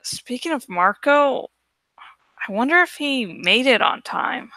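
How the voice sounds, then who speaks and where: A woman hosting a livestream reading of a novel, speaking in an expressive, deliberate way with casual, dramatic delivery. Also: high voice, no echo. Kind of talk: dramatic audiobook-style reading with casual asides.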